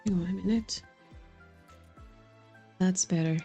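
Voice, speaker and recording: Mellow, lower-pitched woman streamer. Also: a little slow. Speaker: video game streamer. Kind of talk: casual livestream chat and game reactions. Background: faint game music.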